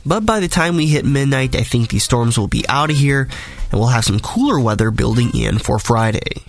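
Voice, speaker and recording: Raspy-voiced man giving a weather podcast. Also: medium pitch, lively intonation, normal speed, dry recording. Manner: conversational, detailed forecasting. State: raspy voice from a cold or allergies. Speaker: weather podcast host, meteorologist. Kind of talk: solo weather forecast podcast.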